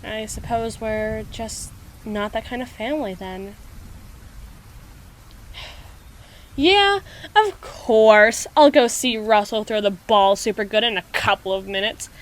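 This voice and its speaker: A woman playing a proud, anxious, flustered schoolgirl in an ASMR audio roleplay, speaking in halting, formal phrases. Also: mid-range voice, animated, a bit bright. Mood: prim, resigned. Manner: halting, prim, formal phrasing with trailing restarts.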